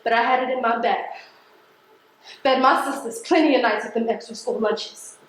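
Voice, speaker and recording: Actress doing a bitter working class mail carrier woman, high voice, stage play, super echoey. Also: normal speed, natural voice. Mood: bitter, defiant. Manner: emphatic, colloquial, confrontational. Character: defiant, embittered working-class woman postal carrier from the projects. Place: theatre stage.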